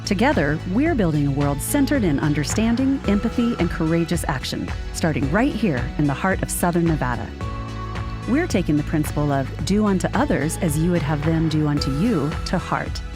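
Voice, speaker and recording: Woman announcer, lowish voice, lively animated delivery, music clearly behind. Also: brisk. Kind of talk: scripted podcast intro over music.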